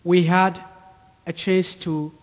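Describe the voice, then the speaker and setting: East African man, high-pitched voice, unhurried personal testimony, deliberate phrasing, long hall reverb. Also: flat delivery. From Central or East Africa, near Lake Tanganyika. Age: 30s. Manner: deliberate, short repeated phrases. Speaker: speaker giving a testimony. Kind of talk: personal testimony told to an audience with slides.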